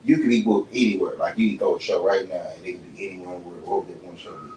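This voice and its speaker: Brief: quick-talking Dallas man hosting, animated and slangy, echoey room, distant mic. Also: sits low. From Dallas, Texas. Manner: quick, animated, slangy, often asks if you know what he's saying. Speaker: podcast host. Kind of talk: casual interview chat. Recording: echoey room, mic far off, faint hum.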